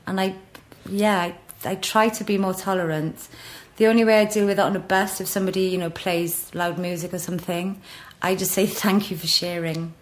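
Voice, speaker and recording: A woman's voice: mid-range voice, little pitch movement, fairly quick, few pauses. A middle-aged Welsh woman, a podcast guest, telling stories frankly with easy laughs. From Wales. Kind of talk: casual chat. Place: office.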